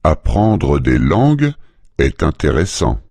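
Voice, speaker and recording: A man saying model phrases slowly and deliberately for learners in a language lesson. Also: extremely low pitch, very animated, a little mellow, no echo. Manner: deliberate, clearly spaced phrases. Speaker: French speaker in a language lesson.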